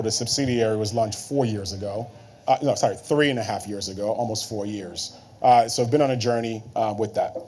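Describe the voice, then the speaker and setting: A man from Nigeria, in his thirties, moderating a conference panel in a relaxed way with many fillers. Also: mid-range voice, a little hiss or hum. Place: conference room.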